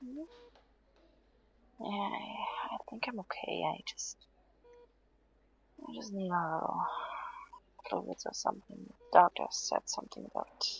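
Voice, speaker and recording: A woman playing a weary, heat-exhausted patient in an intimate audio roleplay, halting and hesitant, downplaying things in a weak, breathy voice to soothe someone. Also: lowish voice, muffled recording, full character voice. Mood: weak, apologetic. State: tired, drained. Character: weary heat-exhausted female patient reassuring a worried partner. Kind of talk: intimate audio roleplay, one side of conversation.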